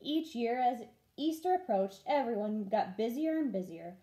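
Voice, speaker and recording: A woman teacher reading a children's storybook aloud in an expressive storytelling style. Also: a little high, normal speed.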